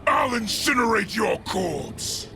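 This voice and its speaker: An actor playing a raging, vengeful alien warlord in a video game, snarling with menace. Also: male voice, high-pitched, big pitch swings, slow, creaky, shouting, music in the background, exaggerated voice. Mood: vengeful rage.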